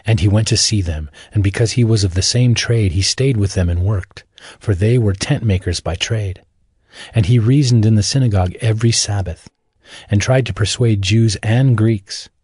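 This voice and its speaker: A man narrating scripted audio Bible scripture as a measured, solemn storyteller. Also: fairly low pitch, darkish tone, breathy edge, no echo, clean studio sound.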